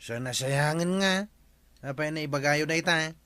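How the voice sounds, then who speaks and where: A carefree village farmer in an Ilocano radio comedy. His voice sits a little high and is bright and forward, with a teasing, mocking manner. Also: normal speed, dry recording. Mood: nonchalant.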